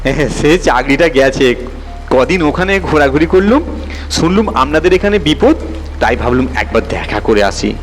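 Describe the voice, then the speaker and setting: A man's voice: fairly high pitch, lively intonation, moderate pace, close to an everyday voice. A man voicing an eerie, insistent and oddly cheerful nephew in a horror story. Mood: eerily cheerful. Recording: long reverb, background music.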